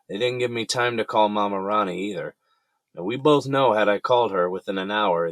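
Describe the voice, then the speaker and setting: A man's voice: lowish voice, a bit bright, dry recording, lightly acted. A man playing an easygoing, teasing character in an audio drama, talking casually and jokingly as if with family.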